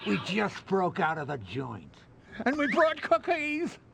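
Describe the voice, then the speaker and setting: A man voicing an excitable, gleefully evil talking bubble villain in a cartoon game, with theatrical delivery. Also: sits high, unhurried, creaky, a little hiss or hum, exaggerated voice. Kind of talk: video game cartoon voice acting.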